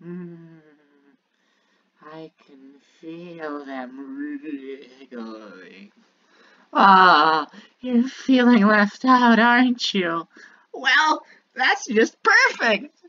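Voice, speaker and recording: A woman's voice: mid-range voice, big pitch swings, drawn-out words, pushed and bright, lo-fi sound, heavily acted. A woman voicing a small, menacing talking flower from a game, theatrical and mocking, swinging between cutesy and cruel. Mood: sinister taunting. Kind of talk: dramatic villain monologue from a game.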